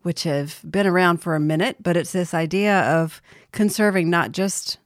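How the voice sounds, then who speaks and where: Woman co-host, lively banter, lowish pitch, dry sound. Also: normal speed, no room sound. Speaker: podcast co-host. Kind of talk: podcast banter.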